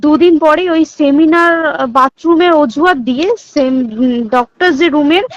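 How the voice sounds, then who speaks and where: A woman with a high voice and an Indian Bengali accent talks on a recorded phone call. She explains emphatically, repeats herself and keeps checking she is understood. The sound is dull and lo-fi, with little room echo. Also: moderate pace. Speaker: hospital staff member. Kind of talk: private phone conversation, recounting insider details.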